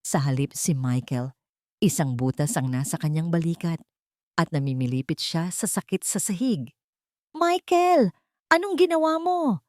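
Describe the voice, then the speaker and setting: A woman's voice: low voice, normal speed, dark tone, no echo, studio quality. A woman with a Filipino accent narrating a scripted dramatic story in the first person.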